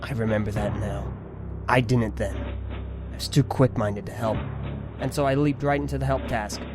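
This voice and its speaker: A man voices a shaken fantasy hero with a medium-pitched voice and an earnest, halting manner. It is audio drama acting, with prominent music behind him. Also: steady tone, close to an everyday voice. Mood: troubled, earnest. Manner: hesitant, earnest. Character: somber, shaken young-sounding heroic warrior of the air. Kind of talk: amateur full-cast audiobook drama acting. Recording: loud music bed under the voice.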